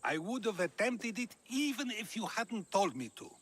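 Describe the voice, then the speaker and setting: An actor playing a wise old philosopher in a video game, measured, reflective and declamatory. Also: male voice, sits high, very animated, fairly bright tone, slight background noise. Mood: musing. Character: wise old male philosopher, calm and musing. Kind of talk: dramatic game cutscene dialogue.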